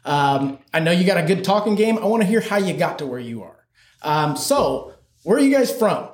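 A man's voice: high voice, sing-song, moderate pace. A man hosting a casual interview, upbeat and chatty, often agreeing with his guests. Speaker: host. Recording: echoey room.

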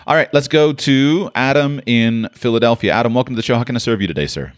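A man hosting a call-in advice show, giving advice out loud with frequent restarts and fillers. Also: mid-range voice, fast, no room sound, studio quality. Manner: thinks aloud with many restarts and fillers. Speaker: radio show host.

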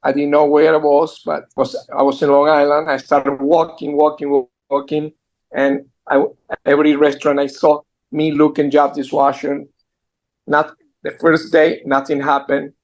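A man's voice: fairly high pitch, completely flat delivery, poor audio. Argentine-accented man telling his story. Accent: Argentine Spanish. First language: Spanish. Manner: earnest, halting with restarts. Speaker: guest entrepreneur. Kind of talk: storytelling interview. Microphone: computer mic on a video call.

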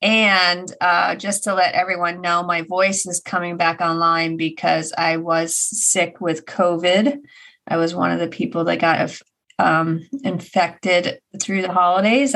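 A woman in her forties co-hosting a podcast, her voice a little raspy after an illness, chatting in an animated way and laughing often. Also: lowish voice, unhurried. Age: 40s. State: slightly raspy from recent illness. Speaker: podcast co-host. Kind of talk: casual chat.

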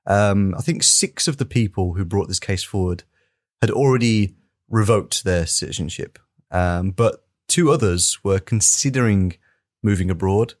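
A man's voice: fairly low pitch, unhurried, no echo, clean studio sound. A man co-hosting a podcast, moving between casual chat and reading out news in an informal, careful way. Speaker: podcast co-host.

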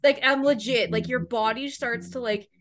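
A woman's voice: a little high, fairly even intonation, moderate pace. A woman in her late twenties from Canada, hosting a casual podcast interview, chatty and swearing freely. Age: 20s. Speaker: podcast host.

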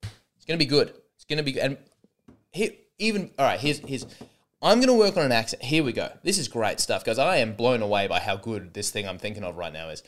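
Animated rambling man. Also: mid-range voice, a little fast. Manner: rambling, sarcastic, many false starts. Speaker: comedian podcast host. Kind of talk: rambling solo comedy podcast.

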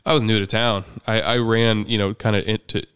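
A man's voice: lowish voice, little pitch movement, a little fast, a bit bright, smooth, dry recording, clean studio sound. A man talking candidly and conversationally as a guest, recounting his own experiences. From United States. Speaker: podcast guest, parent activist.

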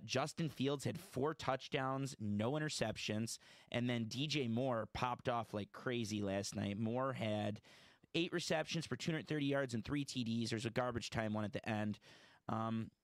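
A man's voice: mid-range voice, no echo. A man hosting a casual sports podcast, chatty and opinionated, often correcting himself mid-sentence. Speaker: podcast host.

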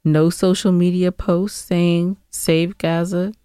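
A woman's voice: on the low side, little pitch movement, slow pace, mellow, smooth, dry recording, clean studio sound. A woman co-hosting a podcast in casual banter, sassy and playfully argumentative. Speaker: podcast co-host.

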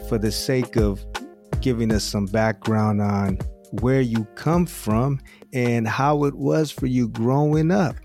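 A man hosting a conversational interview show, speaking in deliberate, drawn-out phrases with some repetition. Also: mid-range voice, a little mellow, soft background music. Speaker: show host. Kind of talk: conversational interview, personal introduction.

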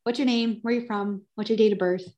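A woman's voice: medium pitch, steady tone, fast talker, warm tone, dull audio. A woman chatting casually as a podcast co-host, informal and teasing in a playful way. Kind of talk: casual chat.

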